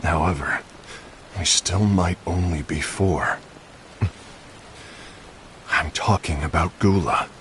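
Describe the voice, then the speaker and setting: A man's voice: deep voice, slow pace, pauses often, fairly bright tone, slight background noise. An actor playing a sardonic, suspicious masked swordsman in a dramatic video game. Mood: wry, guarded.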